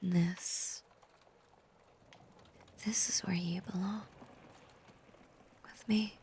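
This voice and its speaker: A woman playing a possessive, obsessively devoted female werewolf lover in an intimate roleplay audio, breathy and whispery, with lingering pauses. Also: fairly low pitch, steady tone, darkish tone, slight background noise. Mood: possessive, tender. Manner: slow, lingering, intimate delivery with long pauses.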